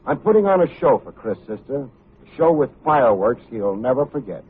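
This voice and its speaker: An actor playing a tough, wry but good-hearted private detective in old-time radio style, with a hardboiled, wisecracking manner. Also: male voice, mid-range voice, big pitch swings, normal speed, slightly rough. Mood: urgent, assured. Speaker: lead actor and narrator. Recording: muffled old radio recording, music behind.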